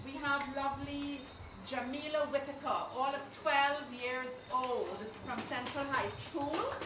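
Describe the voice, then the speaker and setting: A woman introducing readers at a live event in an informal, halting way. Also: high voice. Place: indoor recital event room. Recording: distant mic, echoey room, loud noise.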